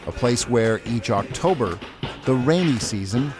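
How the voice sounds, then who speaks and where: Brief: male narrator, mid pitch, measured read, faint music underneath. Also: animated. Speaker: documentary narrator. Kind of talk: scripted documentary narration.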